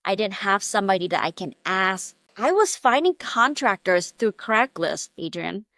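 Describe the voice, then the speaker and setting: A woman's voice: mid-range voice, animated, moderate pace, a bit bright, dry recording. A woman from Vietnam, in her thirties, earnestly telling her real estate story as a podcast guest. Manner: earnest, repetitive phrasing, upbeat. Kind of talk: casual interview storytelling.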